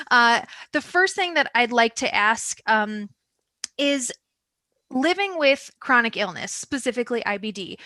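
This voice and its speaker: A woman hosts a roundtable over a video call, talking in an upbeat, chatty way. Her voice is bright and a touch high, recorded dry and close. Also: dry recording. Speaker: moderator. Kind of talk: online roundtable hosting.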